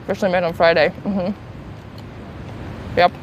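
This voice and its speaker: A woman's voice: fairly low pitch, level tone, a little slow. A woman with a slight Swedish accent chatting casually to her stream viewers outdoors, talking through a phone at arm's length. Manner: chatty, self-deprecating, talks to chat while eating. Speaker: travel streamer. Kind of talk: casual live-stream chat. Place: outdoors on a city street. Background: city traffic and street noise.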